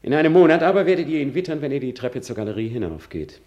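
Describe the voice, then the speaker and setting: An actor playing a bitter, mocking young prince who feigns madness in a theatrical German radio play of classical drama. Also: male voice, mid-range voice, very animated, quick pace, a little mellow, lo-fi sound, close to an everyday voice. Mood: sardonic. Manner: theatrical, sardonic.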